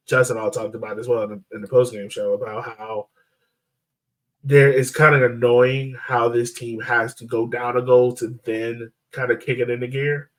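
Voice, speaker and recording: A man's voice: medium pitch, rough recording. A man hosting a sports podcast in casual, conversational soccer chat.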